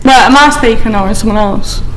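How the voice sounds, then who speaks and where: High-pitched female panelist, indignant and insistent, faint noise. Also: a little fast, low-quality recording. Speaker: panel guest. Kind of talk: heated panel debate.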